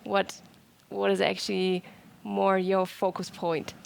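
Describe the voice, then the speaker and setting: Creaky-voiced woman interviewing. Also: medium pitch, monotone, takes it slowly. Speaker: interviewer, film festival moderator. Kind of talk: conversational film interview.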